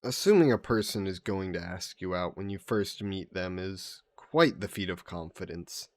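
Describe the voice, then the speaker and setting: Man playing sea monster in disguise, low voice, suave, vain, gentlemanly phrasing, roleplay audio drama, close dry sound. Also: normal speed, no room sound, natural voice. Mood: playful, flirty. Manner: suave, mannered, formal phrasing, teasing. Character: flirty, vain sea monster posing as a gentleman traveler, turning menacing.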